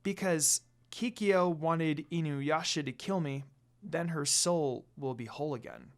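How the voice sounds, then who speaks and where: A narrator voicing a gentle young woman, a priestess who speaks hesitantly and kindly. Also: mid-range voice, unhurried, close to an everyday voice. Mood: sad.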